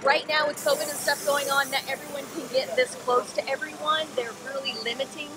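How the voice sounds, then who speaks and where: A woman's voice: high-pitched, little pitch movement, brisk. A woman in her forties hosting a travel vlog, chatting casually and laughing easily while filming herself outdoors at a racetrack with a handheld camera. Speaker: travel vlogger host. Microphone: handheld camera at arm's length. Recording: loud outdoor noise.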